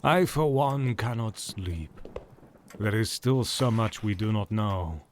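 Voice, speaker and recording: A scholarly professor in a gothic audio drama, measured and thoughtful yet uneasy. He speaks slowly, his pitch rising and falling expressively. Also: animated, slight background noise.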